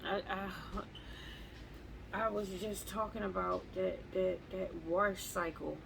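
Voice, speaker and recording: A woman talking slowly and haltingly in a reflective live journal at home, often repeating words as she searches for them. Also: mid-range voice, steady tone, vocal fry, noisy background. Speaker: vlogger.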